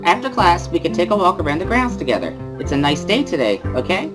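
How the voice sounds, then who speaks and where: A woman's voice: fairly low pitch, fast, music in the background. A woman voicing a playful, bubbly schoolgirl in an amateur visual novel reading, girlish and exclamatory. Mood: eager. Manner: girlish, exclamatory, easily excited. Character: bubbly, playful schoolgirl interpreter who laughs loudly.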